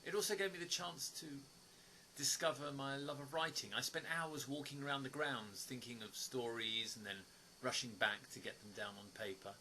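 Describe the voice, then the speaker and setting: An actor playing a polite, wistful writer with gentle wry humour in an audio play, sometimes hesitant. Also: male voice, mid-range voice, normal speed, slight background noise, close to an everyday voice, poor audio. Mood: nostalgic, warm.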